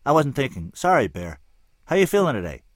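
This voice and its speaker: A man's voice: mid-range voice, very animated, brisk, dry recording, clean studio sound, voice pushed up. A man voicing a friendly, apologetic male drummer with a casual, upbeat manner.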